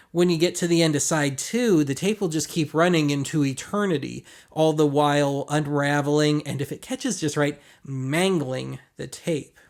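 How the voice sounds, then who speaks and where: A man with a somewhat higher-pitched voice walks through equipment in a casual, explanatory way. His delivery is colloquial and wry, with self-deprecating asides along the way. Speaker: host of a vintage audio gear review show. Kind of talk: casual product walkthrough.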